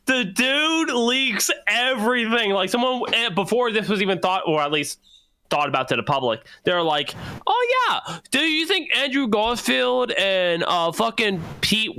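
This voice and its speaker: An excitable young man chats on a podcast with a high, bouncing voice that leaps up and down. His tone is bright and sharp, and he swears freely, laughs often and jumps from topic to topic. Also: smooth. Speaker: podcast co-host. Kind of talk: casual chat.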